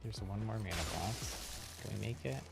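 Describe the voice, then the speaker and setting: A man's voice: fairly low pitch, expressive, moderate pace, vocal fry. A man doing casual live game commentary, thinking aloud in a mild-mannered way. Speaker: game livestreamer. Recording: loud game music behind the voice. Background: loud game music.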